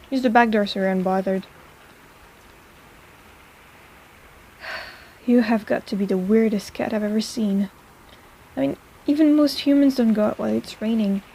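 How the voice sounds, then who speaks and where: A woman's voice: on the high side, dark tone, slight background noise, close to an everyday voice, low-quality recording. A woman playing a sarcastic but caring apothecary in an intimate personal-attention audio roleplay, with a playful, chiding manner. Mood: wry, casual.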